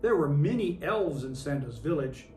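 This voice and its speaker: An expressive storyteller reads a picture book to children in the role of Santa. The pitch moves in lively, wide swings. The recording is roomy and slightly distant, with faint noise underneath. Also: normal speed. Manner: expressive storytelling with acted character lines. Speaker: storybook reader in character as Santa Claus.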